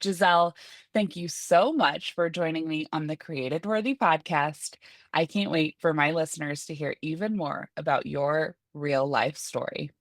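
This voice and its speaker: Woman, podcast host, enthusiastic, low voice, dry close sound. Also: unhurried, no echo. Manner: enthusiastic, effusive. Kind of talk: podcast interview.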